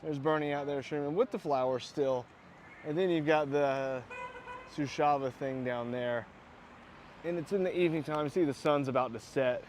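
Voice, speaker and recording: A man's voice: a little high, moderate pace, a little mellow, a little hiss or hum. Easygoing man chatting outdoors. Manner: easygoing, agreeable, describes surroundings. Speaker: live streamer, co-host. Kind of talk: casual live-stream chat while walking. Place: outdoors in a town square in the evening.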